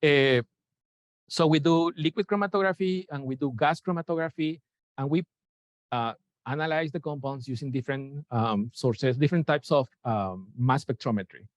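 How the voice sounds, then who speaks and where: A man's voice: fairly high pitch, no room sound. Hesitant man lecturing. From Peru. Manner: hesitant, many fillers, self-correcting. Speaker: lecturer, NASA chemist. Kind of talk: informal science talk. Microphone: room mic at a lecture, Zoom shared. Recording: dull, dry lecture recording.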